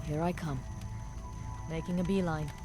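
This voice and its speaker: Woman acting terse, tough military commander over loud music. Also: deep voice, fairly even intonation, normal speed, close to an everyday voice. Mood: brisk, determined. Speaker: video game character voice. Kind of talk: scripted military strategy game acting, clipped unit responses. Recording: game audio with loud music.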